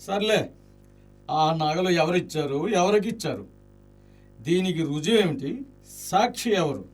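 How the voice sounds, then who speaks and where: An actor playing a talkative, jesting, loyal friend in an Indian radio play, with an exclamatory, theatrical delivery. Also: male voice, a little high, very animated, a little slow, distant mic, faint music behind. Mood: practical, consoling. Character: Maitreya, talkative jesting loyal male friend of a ruined nobleman.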